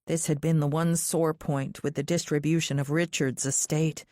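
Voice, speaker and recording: A woman's voice: sits low, flat delivery, moderate pace, dark tone, no room sound, clean studio sound. A woman reading a thriller novel aloud in the first person as scripted audiobook narration.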